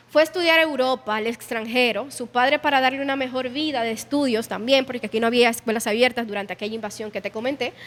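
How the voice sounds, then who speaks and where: Woman guide, high voice, hall. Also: a bit bright. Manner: explanatory, narrates history with quoted dialogue. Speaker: museum guide. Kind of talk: guided tour explanation. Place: indoor exhibition hall.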